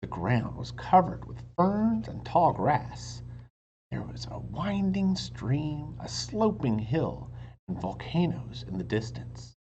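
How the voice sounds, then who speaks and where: A man reading a children's book aloud dramatically, stretching words and dropping into hushed whispers, with teacherly asides to his listeners. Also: on the high side, sing-song, slow, muffled recording, a little hiss or hum. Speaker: read-aloud storyteller and teacher.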